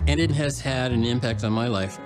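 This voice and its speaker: An older American man talks as an artist interviewed for a documentary. His delivery is measured and explanatory, at a medium pitch, with music playing behind him. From Washington, D.C., United States. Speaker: puppeteer, artist, museum curator.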